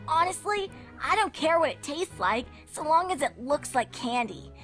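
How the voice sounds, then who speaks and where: A woman's voice: high-pitched, expressive, normal speed, fairly bright tone, soft background music, pitched above the performer's own voice. A woman voicing a testy, blunt young tomboy pegasus filly in a cartoon fanfic reading. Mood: exasperated.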